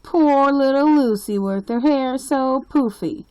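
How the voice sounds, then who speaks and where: A woman's voice: high-pitched, slow, warm tone, pitched above the performer's own voice, poor audio. A woman voicing a mean schoolboy bully in a storybook, mocking and exaggerated. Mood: taunting.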